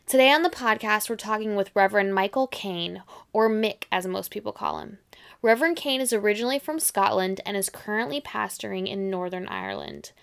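A woman hosts an interview podcast. Her voice has a gravelly fry at an ordinary pitch for a woman. She speaks conversationally, introducing the show and putting questions to a guest. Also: lively intonation, brisk. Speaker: podcast host.

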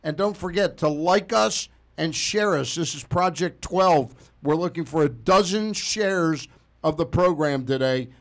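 A man's voice: a little high, unhurried. A man hosting a live sports radio show in casual talk.

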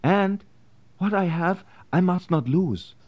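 Actor as an anxious immigrant man, slow and halting. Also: sits high, lively intonation, darkish tone. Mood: earnest, troubled. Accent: foreign. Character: anxious immigrant tradesman in 1865, wary and reluctant conspirator. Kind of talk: period historical drama acting.

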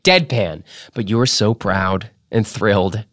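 A man reading a memoir aloud as a podcast host, in a theatrical, emphatic style with dramatic pauses. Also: on the low side, fairly bright tone, no echo, clean studio sound. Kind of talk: expressive dramatic literary reading.

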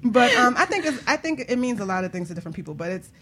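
Very fast-talking, laughing woman radio co-host. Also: on the high side. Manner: animated, laughs often, joking. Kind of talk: casual radio chat.

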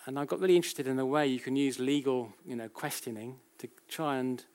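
Male British lecturer, mid-range voice, informal explainer style, halting delivery with self-corrections and rhetorical questions. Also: medium pitch, fairly even intonation, a little mellow. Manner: conversational, checks in with rhetorical questions. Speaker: academic lecturer. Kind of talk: public lecture, explanatory and anecdotal.

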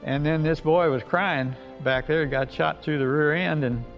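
A man's voice: on the high side, steady tone, unhurried. An older American man, a war veteran, recounting his memories in a folksy, plain-spoken way. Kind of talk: unscripted oral-history reminiscence. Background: music bed.

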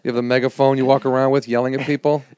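A man's voice: medium pitch, level tone, rapid-fire, a little mellow, smooth. A man co-hosting a casual talk show, teasing and joking with his guest. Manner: teasing, jokey. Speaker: podcast co-host.

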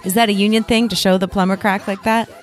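A woman's voice: medium pitch, steady tone, quick pace, soft background music. A woman co-hosting a comedy podcast, giggly and playful, laughing often in casual chat. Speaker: podcast co-host.

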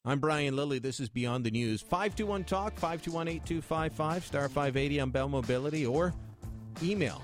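A man's voice: a little high, moderate pace, soft background music. A man hosting a talk radio call-in show in a conversational, jokey manner.

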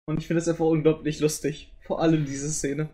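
A man with a somewhat high voice chats in a relaxed group conversation. He is a podcast co-host with a playful, colloquial manner. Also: brisk, background noise. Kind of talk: casual group chat.

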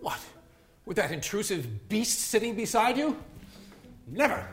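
A man's voice: sits high, very animated, a little slow, faint music behind. A man playing a sulky, flustered newlywed husband, placating and theatrical, in a comic period story.

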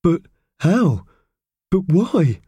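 Children's audiobook part: man as an easygoing, puzzled, ponderous pal, lifted slightly and expressive in pitch. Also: extremely slow, slightly rough, dry recording, exaggerated voice.

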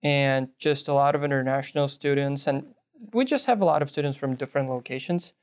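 Young man from Belarus, smooth voice. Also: mid-range voice, a little fast. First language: Russian. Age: young adult. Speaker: guest, nursing student. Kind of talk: interview answers.

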